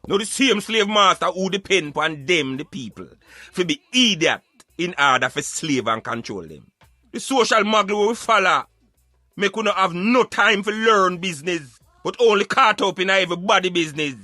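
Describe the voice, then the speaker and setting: A man's voice: high-pitched, lively intonation, moderate pace, bright, forceful tone, no room sound, soft background music. A Jamaican man giving emphatic, opinionated social commentary in Patois, like a street philosopher preaching on a radio show.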